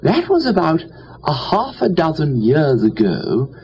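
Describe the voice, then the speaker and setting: A man acts out a foppish young English gentleman spinning a comic tale. He is cheerful and none too bright, full of chatty upper-class slang, with very lively swooping pitch. The recording is a little dull, with faint noise. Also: a little slow, heavily acted. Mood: chatty, airy. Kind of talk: dramatised first-person comic storytelling.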